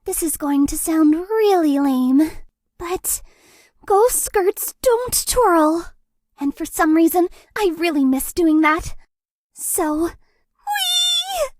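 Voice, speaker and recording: A woman voicing a bubbly, shy, lovesick ghost girl in a dating game, with a girlish, breathy, cutesy voice. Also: sing-song, slow, no echo, clean studio sound, heavily acted. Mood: sheepish. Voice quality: very high, girlish voice. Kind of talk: anime-style dating game character lines.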